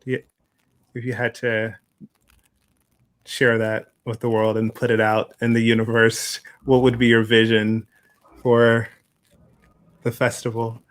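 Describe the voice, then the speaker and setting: A man hosting a panel conversation in a measured, appreciative way. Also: medium pitch, completely flat delivery. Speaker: panel host.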